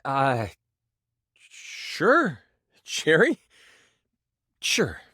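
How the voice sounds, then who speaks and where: A man voicing an earnest, excitable, nerdy game master in a video game, with a theatrical tabletop narrating manner. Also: on the high side, extremely slow, husky, dry recording, studio quality, heavily acted. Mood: friendly, awkward. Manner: theatrical, earnest, awkwardly gushing.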